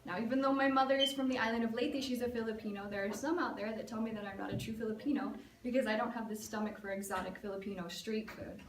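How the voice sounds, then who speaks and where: A woman's voice: a little high, fairly even intonation, fast, hardly any pauses, slight background noise. A young American woman tells a personal story as a prepared speech, in a casual, chatty way full of "like" and "um". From United States. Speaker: student giving a speech. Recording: echoey room, mic at a distance, faint ambience.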